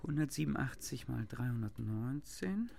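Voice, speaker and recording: An earnest, ambitious young farmhand in a German radio drama. His speech is terse, with very lively swings in intonation. The recording carries some noise and clicks. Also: medium pitch, moderate pace, creaky. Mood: preoccupied. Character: poor, dreamy, ambitious young farmhand good at sums. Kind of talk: German radio play acting.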